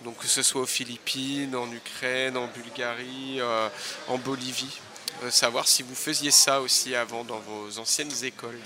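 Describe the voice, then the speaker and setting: A man's voice: medium pitch, fairly even intonation, moderate pace. A man running a radio workshop, patiently asking a group of students questions in turn. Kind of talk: hosting a group introduction. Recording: busy crowd chatter behind.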